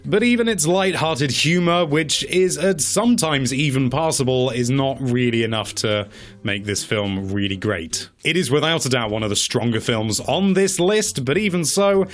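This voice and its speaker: A man presenting a countdown in a casual, opinionated commentary style, wry and given to mock-dramatic emphasis and drawn-out words. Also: a little high. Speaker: presenter of a video game movie countdown.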